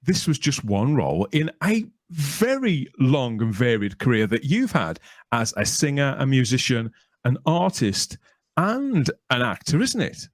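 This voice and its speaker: A male podcast host speaks at an ordinary pitch in a very dry recording. He interviews in a casual way, working deliberately toward his phrasing and often rewording as he goes. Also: very animated, no echo.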